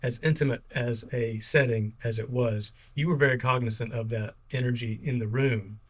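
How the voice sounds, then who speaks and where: Mid-pitched male host, chatty and affirming. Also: steady tone, normal speed. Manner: affirming, asks long rambling questions. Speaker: podcast host. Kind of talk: casual interview conversation.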